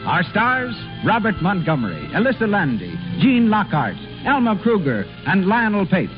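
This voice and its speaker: An American man announces in vintage 1930s radio fashion. His pitch is high and swings with showmanlike emphasis. Clear music plays behind him on a dull old recording. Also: wildly swooping pitch, moderate pace. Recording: muffled 1930s radio broadcast.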